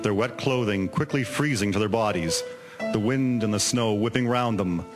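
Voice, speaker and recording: A man narrating a historical tale in a measured, solemn, scripted storytelling manner. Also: on the low side. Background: music with guitar.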